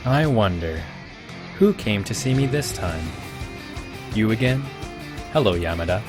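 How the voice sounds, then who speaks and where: A man's voice: fairly low pitch, lively intonation, unhurried. A man playing a suave, calculating young student council president in an anime dub, formal and smugly polite. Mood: amused. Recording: music behind the voice.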